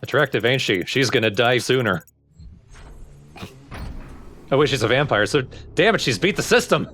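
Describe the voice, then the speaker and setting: A man's voice: mid-range voice, big pitch swings, moderate pace, a bit bright. A man co-commentating on a game with wry, joking casual banter. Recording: faint background noise. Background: game sound.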